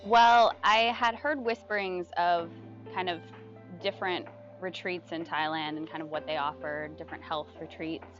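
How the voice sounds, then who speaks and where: A woman's voice: on the low side, fairly even intonation, moderate pace, highs cut off. Woman talking over music. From United States. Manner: chatty, with fillers and soft laughs. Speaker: retreat guest giving a testimonial. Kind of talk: casual testimonial interview. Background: background music.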